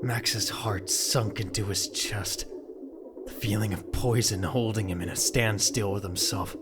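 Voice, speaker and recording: A man's voice: lowish voice, fairly even intonation, unhurried, loud music behind the voice. A man reading scripted, dramatic narration for an audio drama.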